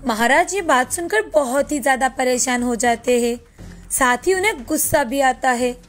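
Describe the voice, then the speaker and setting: A woman reading scripted narration for an animated children's tale. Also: high-pitched, normal speed, soft background music.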